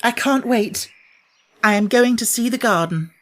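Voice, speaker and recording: A man's voice: extremely high pitch, measured pace, a bit bright, voice pushed up. A man voicing a curious, forthright young girl in a storybook, with a direct, earnest manner. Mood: delighted, eager.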